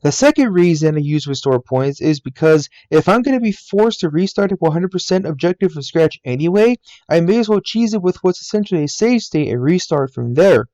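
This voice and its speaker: A man's voice: a little high, lively intonation, a little fast, dark tone, no room sound, lo-fi sound. A man in his twenties from the United States, earnestly narrating a scripted voice-over about himself and his channel from a game room at home. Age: 20s. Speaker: YouTuber. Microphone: laptop mic.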